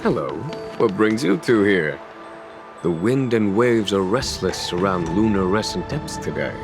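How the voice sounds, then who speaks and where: An actor playing a composed, courteous general in a video game, speaking formally with music behind him. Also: male voice, sits low, lively intonation, a little slow.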